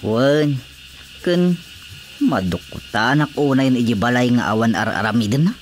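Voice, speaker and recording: A man's voice: medium pitch, lively intonation, moderate pace, lightly acted. A man with an Ilocano accent playing a sociable village councilor in a radio drama comedy. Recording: faintly noisy radio drama track.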